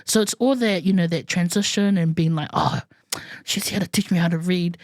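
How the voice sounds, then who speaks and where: A woman's voice: fairly low pitch, moderate pace, a little mellow, dry recording, studio quality. Laughing chatty New woman podcaster. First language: Samoan. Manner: chatty, laughing, colloquial asides. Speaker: podcast co-host. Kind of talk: casual podcast chat.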